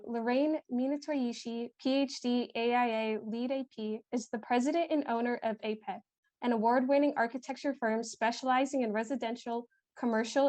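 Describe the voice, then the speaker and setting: A woman's voice: on the high side, a little mellow, no echo. A young woman moderating a video call lecture and reading a speaker introduction, with frequent ums. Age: young adult.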